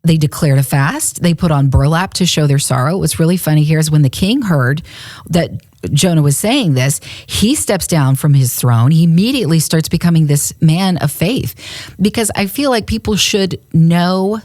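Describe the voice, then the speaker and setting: A woman's voice: low-pitched, expressive, moderate pace, a little mellow, dry recording, clean studio sound. A woman co-hosting a faith podcast, chatting casually and laughing often as she retells a Bible story. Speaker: radio and podcast co-host. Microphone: studio microphone.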